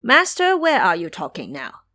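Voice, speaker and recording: A woman voicing a gullible, excitable little male demon imp who exclaims and asks wide-eyed questions in an audio storybook. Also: medium pitch, moderate pace, no echo. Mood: astonished, puzzled.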